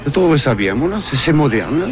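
A man's voice: medium pitch, sing-song, a little fast, crowd noise. A man with a Quebec French accent asking people questions in a casual street vox pop. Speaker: street interviewer.

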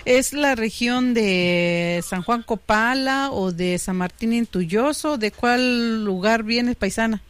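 A woman's voice: fairly high pitch, takes it slowly, hardly any pauses, slight background noise. A woman from Oaxaca with a Mexican accent, chatting casually as a live community radio host.

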